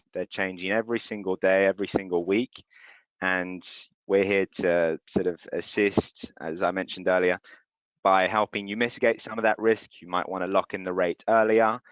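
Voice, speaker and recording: A man from France, presenting for a currency transfer firm in a webinar and running audience polls in a clear, steady way. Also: deep voice, no room sound. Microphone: video call. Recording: tinny phone-like call audio.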